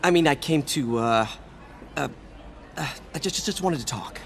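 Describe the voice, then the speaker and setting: A man's voice: on the high side, lively intonation, moderate pace, a little hiss or hum. A man playing an eager, awkward, good-hearted young superhero in a video game, with a casual, earnest, boyish delivery. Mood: nervous. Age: young adult.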